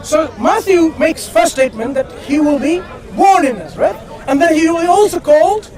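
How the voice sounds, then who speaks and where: A man's voice: extremely high pitch, lively intonation, normal speed, a little mellow. A man debating on the street, insistent, repeating himself to keep the floor. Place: outdoors in a city. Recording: crowd noise outdoors. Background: crowd of onlookers talking.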